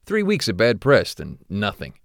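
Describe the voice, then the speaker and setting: Low-voiced, guilt-ridden retired executive. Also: male voice, wildly swooping pitch, vocal fry, no echo, studio quality, exaggerated voice. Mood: wry, defensive. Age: older. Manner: hesitant, confessional, halting. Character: guilt-ridden retired male corporate executive, rationalizing and weary. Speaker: interviewee. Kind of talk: naturalistic audio drama interview.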